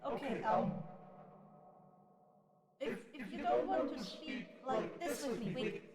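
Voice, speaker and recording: A woman's voice: high voice, animated, slow, very noisy. A woman playing a lonely, polite spirit trapped in a book, hesitant and apologetic, in an improvised tabletop roleplay. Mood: hesitant, eager. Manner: hesitant, apologetic, soft-spoken pleading.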